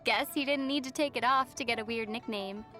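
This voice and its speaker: Young adventurer girl, high bright voice, wry, teasing, video game dialogue, faint music. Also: a little fast. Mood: skeptical.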